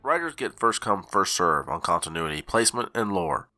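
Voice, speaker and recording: A man reading a scripted announcement, setting out group rules in a measured way like a charter. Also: low voice, sing-song, bright, forceful tone. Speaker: channel co-founder.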